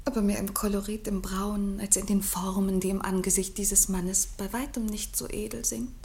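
An actress playing a lovestruck young bride in a German radio drama, with swooning, ornate declamation. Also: female voice, mid-range voice, level tone, normal speed, a little mellow, husky. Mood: yearning, rapt. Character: lovestruck, rapturous daughter, a sentimental bride.

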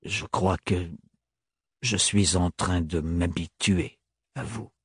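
A man reading aloud and voicing a tall, stern, gruff man who speaks curtly and flatly. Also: low voice, slow, no echo, studio quality, voice pushed down, full character voice. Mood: awkward. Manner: curt, gruff, flat like an accountant.

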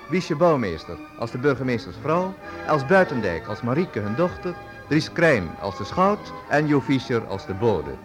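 A male announcer reads scripted radio drama credits. His voice is fairly high with a lively, animated intonation, and music plays behind him.

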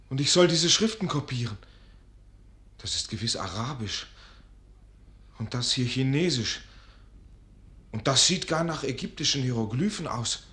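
An actor playing a timid, lovestruck young student in a radio play, earnest, plaintive and theatrical. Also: male voice, mid-range voice, pushed and bright. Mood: dismayed, despairing. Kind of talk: German radio play acting of a literary classic.

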